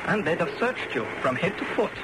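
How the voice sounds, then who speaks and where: A very noisy old radio play recording carries a shrewd, worldly British agent. He is dry and teasing, with lively swings in a slightly high voice. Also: a little fast. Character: wry, worldly male secret agent companion, teasing and shrewd. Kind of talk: radio drama acting.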